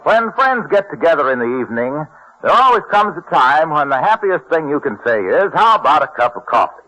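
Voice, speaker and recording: A man's voice: fairly high pitch, very animated, a little fast, noisy background. A man working as a radio announcer, reading scripted introductions and advertising copy in a polished, salesmanlike old-time style.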